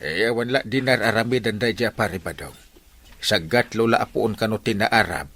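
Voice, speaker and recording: A performer acting an excitable, exasperated village captain in a comedy radio drama, full of exclamations. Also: mid-range voice, normal speed. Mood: incredulous.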